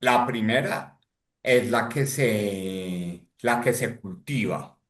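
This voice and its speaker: A man's voice: on the low side, slow pace, a bit bright, roomy sound. A man from Colombia speaking passionately as a podcast guest, emphatic and rhetorical, often checking in with "¿sí?". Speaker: guest, former statistics official.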